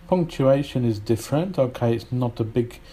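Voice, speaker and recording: A man's voice: medium pitch, animated, moderate pace, mellow, husky. A man from Britain whose British English accent carries some Polish influence, teaching an informal English lesson in a rambling, hesitant way with many fillers and restarts.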